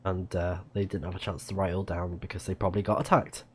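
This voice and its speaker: A man's voice: low voice, flat delivery, fast talker, a little mellow, slightly rough. A man doing casual game commentary, musing to himself and reading game text aloud. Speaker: let's play streamer.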